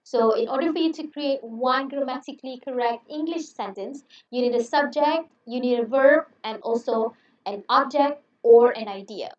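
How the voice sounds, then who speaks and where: A young Malay-native woman gives a chatty university English lecture with plenty of "um"s and reassurances. Her voice sits a little high. The sound is roomy and dull, as if she were set back from the mic. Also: normal speed, recorded from a distance. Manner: friendly, chatty, reassuring with many fillers. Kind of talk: recorded online lecture. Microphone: headset.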